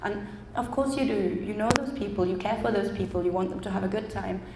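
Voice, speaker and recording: A woman's voice: medium pitch, fairly quick, noisy background. A woman from Germany, a photographer, talking chattily about her project at a public event, laughing often and acting out quoted dialogue. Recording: echoey hall, faint ambience.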